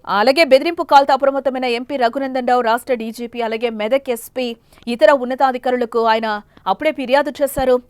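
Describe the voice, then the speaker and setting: A woman news anchor with a high voice delivers breaking news. Her delivery is emphatic and repetitive, partly off the cuff. The sound is close and dry. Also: clear, resonant tone, dry recording.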